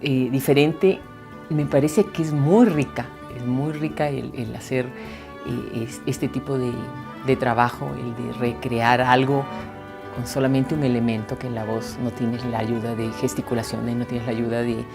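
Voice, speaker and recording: Mujer de voz muy grave. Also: female voice, a bass voice, steady tone, a little slow, creaky, music in the background. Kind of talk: reflective interview talk.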